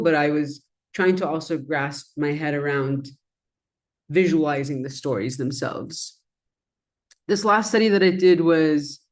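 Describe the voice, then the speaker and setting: A woman, a native Arabic speaker, walks an audience through her design work in an easygoing, thoughtful way, with the odd "um" as she goes. Her tone is conversational rather than polished, like a talk built around slides. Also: low voice. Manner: reflective and conversational, with frequent "um" and "kind of". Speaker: design educator presenting her research.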